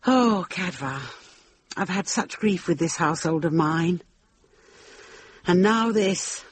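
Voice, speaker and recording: A woman's voice: fairly low pitch, expressive, takes it slowly, husky, heavily acted. An actress playing a middle-aged widowed lady of a manor in a radio drama, genteel, candid and loyal. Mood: sorrowful, candid. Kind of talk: British radio drama acting.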